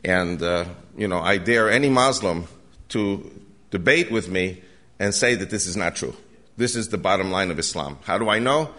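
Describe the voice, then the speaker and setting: A man's voice: low voice, expressive, normal speed, a bit bright. An older American man giving an urgent, earnest lecture to a live audience, often restarting his sentences. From United States. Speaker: guest lecturer.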